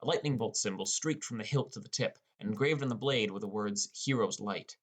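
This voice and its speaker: A man's voice: lowish voice, brisk, natural voice. A man playing a wry, inexperienced teenage boy who narrates his own game adventure in an audiobook. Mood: narrating. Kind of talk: first-person audiobook narration with character dialogue.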